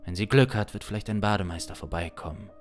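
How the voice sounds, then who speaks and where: A man in his thirties improvising a dark crime narration in a dramatic, intense manner, close on a studio mic. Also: lowish voice, quick pace. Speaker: improvising audio drama performer. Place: small home studio, indoors. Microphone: close studio condenser mic. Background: instrumental music.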